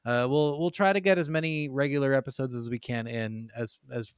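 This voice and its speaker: A man's voice: medium pitch, brisk, smooth, dry recording, studio quality. A man co-hosting a podcast in casual banter, blunt and profane with slangy phrasing. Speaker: podcast co-host. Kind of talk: casual banter between friends. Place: remote recording from separate locations.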